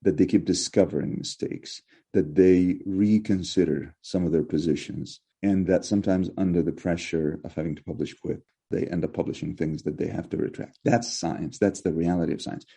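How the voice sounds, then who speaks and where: Give me a middle-aged man from Egypt, Arabic, speaking English in a low, husky voice. His answers are measured and probing, with frequent restarts as he thinks aloud. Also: steady tone, dark tone. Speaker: professor, guest. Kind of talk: reflective interview answers.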